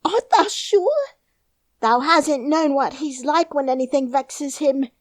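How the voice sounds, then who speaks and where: A woman voicing an excitable young housemaid with a Yorkshire dialect, speaking loud and high. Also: slow pace, no echo, studio quality. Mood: alarmed. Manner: shrill, loud and agitated.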